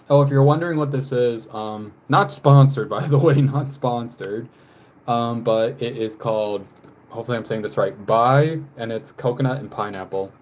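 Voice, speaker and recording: A man's voice: mid-range voice, a little mellow. A man in his twenties chatting casually on a live stream, rambling with frequent laughs and reading out viewer messages. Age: 20s. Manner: rambling, laughs often, reads chat messages aloud. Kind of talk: casual chat while drawing on a live stream.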